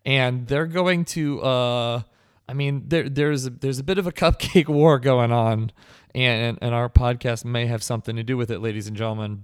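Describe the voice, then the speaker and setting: Guy hosting a comedy podcast, deadpan and rambly, smooth voice, dry close sound. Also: medium pitch, dry recording. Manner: mock-earnest, rambling, deadpan. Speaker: podcast host.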